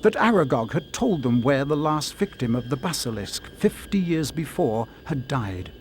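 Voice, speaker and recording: A man narrating a scripted story in a measured, dramatic storybook manner. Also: mid-range voice, big pitch swings, a little hiss or hum.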